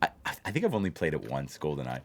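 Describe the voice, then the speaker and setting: A man's voice: a bass voice, huge pitch swings, fast talker. A man hosts a casual livestream, chatting to viewers in a rambling way and repeating phrases for emphasis. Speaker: livestream host of a blackjack channel.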